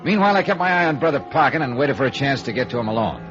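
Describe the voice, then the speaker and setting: A man acting a hard-boiled American private investigator in a 1950s radio drama. He is dry, clipped and wry as he narrates and questions. The vintage recording is dull, with clear music behind him. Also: medium pitch, lively intonation, racing, natural voice. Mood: wry, watchful. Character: tough, wry male freelance insurance investigator, narrating his case.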